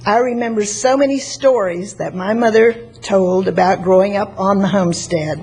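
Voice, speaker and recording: Soft-voiced woman in her sixties. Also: mid-range voice, moderate pace, husky. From Florida, United States. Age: 60s. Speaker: member of the public giving testimony. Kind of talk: prepared speech read at a public hearing. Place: public meeting chamber at a podium microphone. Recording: faint background noise.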